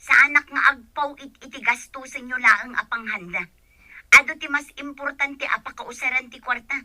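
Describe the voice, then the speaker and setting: A woman's voice: sits high, moderate pace, pushed and bright, no echo. A woman playing a stern, unyielding wife and mother in a radio drama, firm and curt. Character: stern, thrifty mother working abroad, unyielding.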